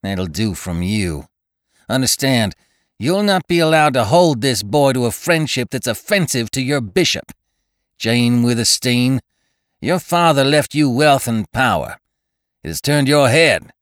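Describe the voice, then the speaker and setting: A man voicing a stern, fanatical male church elder who gives orders in a raised, forceful voice. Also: medium pitch, animated, unhurried, dry recording, studio quality, pitched above the performer's own voice, close to an everyday voice. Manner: raised, forceful, commanding delivery.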